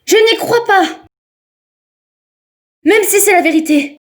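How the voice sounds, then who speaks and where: A woman's voice: very high-pitched, slow pace. A woman voicing a devoted, stubborn young hedgehog girl in an amateur French game fan dub. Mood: firm, upset. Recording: background music under the voice.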